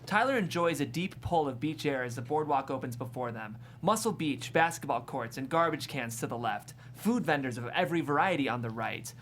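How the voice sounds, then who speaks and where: Stage directions reader: mid-pitched, descriptive, amused, scripted comedy narration, slight room noise. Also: lively intonation, brisk, hardly any pauses, fairly bright tone. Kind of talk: scripted screenplay narration at a table read.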